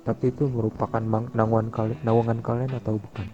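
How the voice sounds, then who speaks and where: A man's voice: lowish voice, flat delivery, fast. A man speaking formally and politely in an in-character online gangster roleplay negotiation. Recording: dull lo-fi voice chat, loud music. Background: music.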